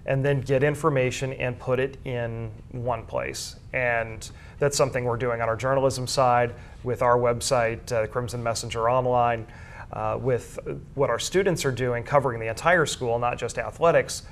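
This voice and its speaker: A man's voice: medium pitch, steady tone, moderate pace, a little hiss or hum. A middle-aged American man speaks as a guest in an interview. He explains his work in an earnest, thorough way, with a mid-range voice. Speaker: guest, school sports information director and journalism teacher.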